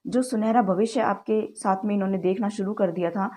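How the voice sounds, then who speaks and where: Woman tarot reader, mellow voice, slightly sore throat, chatty, calls viewers guys. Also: mid-range voice, fairly quick. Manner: mixes English words into Hindi. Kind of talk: direct-to-camera tarot reading.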